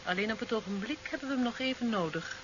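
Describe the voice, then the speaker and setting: A woman's voice: on the high side, animated, quick pace, close to an everyday voice. Woman acting a cold, resolute sci-fi turncoat. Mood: cold, threatening. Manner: controlled, ominous, regretful. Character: cold, resolute woman turned alien agent, still loving. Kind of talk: 1970s Dutch radio drama acting. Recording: muffled, hissy 1970s radio recording.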